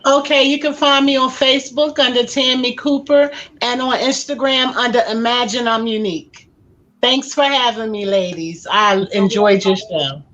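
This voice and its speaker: A woman's voice: a little high, moderate pace, a bit bright. A woman guest thanking the hosts in an upbeat way. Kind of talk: casual chat. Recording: dull lo-fi audio.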